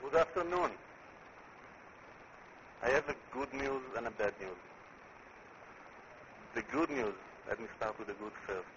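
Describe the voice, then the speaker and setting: A man with an Israeli accent, a company chief executive giving a conference presentation in a deliberate way, repeating words as he builds his points. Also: medium pitch, lively intonation, fairly bright tone. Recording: muffled recording with clear background noise.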